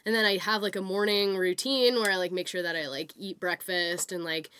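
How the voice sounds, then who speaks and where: Raspy-voiced woman podcast co-host. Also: lowish voice, steady tone, a little fast, fairly bright tone. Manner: chatty, swears freely, many fillers. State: hoarse from a cold. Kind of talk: casual chat.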